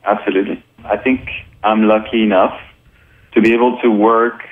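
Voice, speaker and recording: A man from Colombia, a photographer and filmmaker, answering interview questions in a relaxed way while searching for words. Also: on the low side, moderate pace, phone-quality sound.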